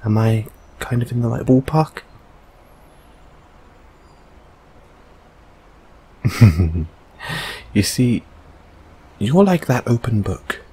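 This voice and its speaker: A man playing a gentle, reassuring boyfriend in an intimate comfort audio roleplay, speaking softly and hesitantly. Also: medium pitch, sing-song, husky, exaggerated voice. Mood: gentle, playful. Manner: soft, hesitant, soothing, unhurried.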